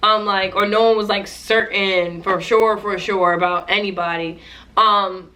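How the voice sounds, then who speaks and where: A woman's voice: mid-range voice, fairly even intonation, measured pace, sharp tone, slight background noise, poor audio. A young woman vlogger chatting casually in a playful, joking way about a show she watched. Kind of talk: casual reaction commentary.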